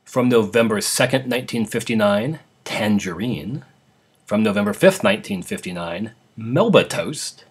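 A man's voice: lowish voice, animated, moderate pace, husky. A man hosting a podcast, informally introducing old radio programs. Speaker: podcast host.

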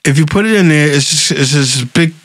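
A man's voice: fairly high pitch, fairly quick, fairly bright tone, dry recording. A man in his thirties from Philadelphia, a politician guest talking casually and emphatically, often saying "right?" and "facts". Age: 30s. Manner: emphatic, repeats words and says "right?" and "facts". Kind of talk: casual interview talk. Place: small studio with brick wall. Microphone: desk mic on boom arm.

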